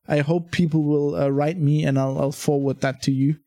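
A podcast host with a German accent talks bluntly and laughs often, swearing casually. His voice sits a bit high and carries a gravelly edge. The sound is dry and close, with no room around it. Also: flat delivery, moderate pace, dark tone, no room sound. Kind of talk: casual conversation.

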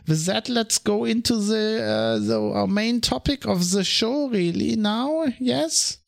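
A man's voice: sits high, sing-song, slow, creaky. A man with a German accent hosting a solo podcast, rambling through his thoughts with many ums and restarts. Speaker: podcast host. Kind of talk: casual solo podcast monologue.

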